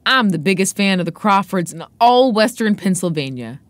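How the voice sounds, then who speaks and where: A woman's voice: mid-range voice, lively intonation, moderate pace, no echo, natural voice. Woman reading as an eager, boastful young boy. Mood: proud, admiring. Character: eager twelve-year-old boy, boastful baseball fan narrating. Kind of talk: dramatic first-person novel reading.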